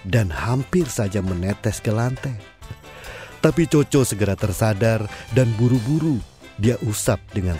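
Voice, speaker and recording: A man narrating an audio drama in a measured storytelling manner. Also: lowish voice, mellow. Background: faint music.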